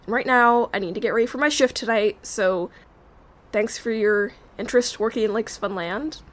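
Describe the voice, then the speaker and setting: A woman's voice: fairly high pitch, normal speed, lightly acted. A woman acting in an audio drama as a young night security worker, reserved and hesitant but firm. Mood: polite, firm. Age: young adult.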